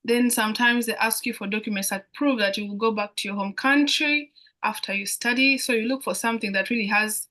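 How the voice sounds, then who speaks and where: A woman's voice: a little high, steady tone, a little fast, pushed and bright. A young woman from Uganda, a student guest on a live online Q&A, speaking over a video call and talking hesitantly with many fillers and restarts.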